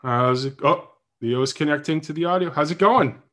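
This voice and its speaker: A man's voice: a little high, normal speed, a bit bright. Male podcast host, chatty and jokey, talks politics off the cuff in a loose livestream. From New Hampshire. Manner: joking, chatty, thinks aloud. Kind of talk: casual political chat on a livestream.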